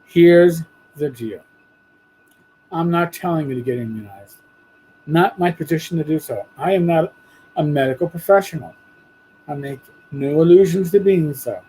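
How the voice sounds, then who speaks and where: A man hosting a podcast, talking bluntly and casually with a hoarse voice after losing it. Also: fairly high pitch, normal speed, pauses often, dark tone, rough recording. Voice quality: husky. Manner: blunt, profane, rambling. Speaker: podcast host. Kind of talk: casual monologue.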